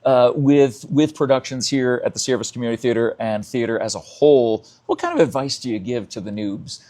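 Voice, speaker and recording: A man's voice: medium pitch, brisk, a little mellow. A man hosting a casual, upbeat live interview as a radio host.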